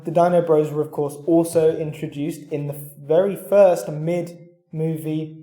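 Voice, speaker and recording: Mellow man in an echoey room. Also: a little high, measured pace. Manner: deadpan, matter-of-fact. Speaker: podcast co-host. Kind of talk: deadpan comedy podcast chat. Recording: roomy, echoing sound.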